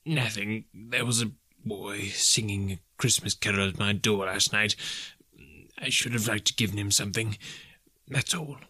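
A man voicing an excitable old miser in a storybook reading, giddy with nostalgia, exclaiming and rambling in a voice between laughing and crying. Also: on the low side, normal speed, breathy edge, no echo, poor audio. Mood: pitying, subdued. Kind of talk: dramatic audiobook character reading.

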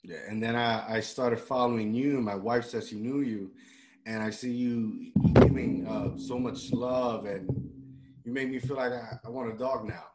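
A man's voice: medium pitch, fairly even intonation, moderate pace, heavy background noise. A man from Puerto Rico hosting a podcast, talking in a loose, rambling way with many restarts and fillers. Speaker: podcast host. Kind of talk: casual interview chat.

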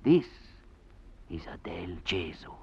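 An actor playing a kindly, proud old Italian music teacher in a 1940s radio drama, speaking haltingly with an Italian accent. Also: male voice, low voice, sing-song, gravelly, dark tone, husky, heavily acted. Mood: proud, reverent. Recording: dull vintage radio recording.

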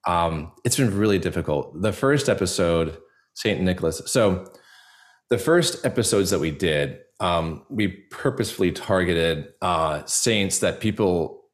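A man speaking reflectively as a podcast guest, earnest and halting, with a self-deprecating way of talking. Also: low voice, expressive. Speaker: podcast guest, audio drama creator. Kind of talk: reflective interview conversation.

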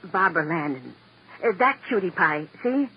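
A sentimental showbiz booking agent in an old radio crime drama. The voice is fairly high, plaintive and rambling, on a muffled recording with faint hiss. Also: male voice, very animated, moderate pace. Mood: resigned, chatty.

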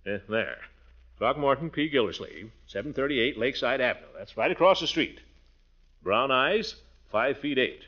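An actor playing a blustery, kindly middle-aged uncle in pompous, flustered old radio comedy style, given to muttering and chuckling. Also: male voice, mid-range voice, lively intonation, moderate pace, close to an everyday voice. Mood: flustered indignation. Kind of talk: 1940s radio comedy acting. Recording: muffled old radio recording.

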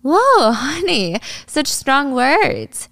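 A woman's voice: fairly high pitch, animated, brisk, dry recording, close to an everyday voice. A woman voicing a haughty, mocking young female influencer with a condescending, foul-mouthed manner.

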